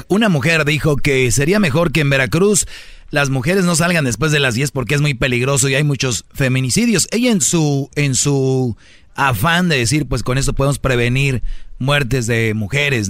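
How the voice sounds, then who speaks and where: Mexican man, radio talk host, mid-pitched voice, blunt and challenging, conversational, close dry studio sound. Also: fairly bright tone, no echo. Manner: blunt, challenging, rhetorical questions. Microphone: close studio microphone.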